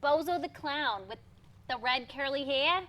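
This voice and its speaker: A woman's voice: sits high, unhurried. A woman playing a playful, teasing 1950s hairdresser wife in a conversational staged play reading. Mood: teasing, indignant. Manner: teasing, expressive.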